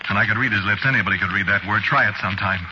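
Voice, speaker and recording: Man acting haunted low-voiced killer, noisy old radio. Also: highs cut off, close to an everyday voice. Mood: brooding, tense. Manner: confiding first-person storytelling, halting repetitions. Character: haunted guilty man, a killer, confessing his fearful tale. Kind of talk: old-time radio suspense narration.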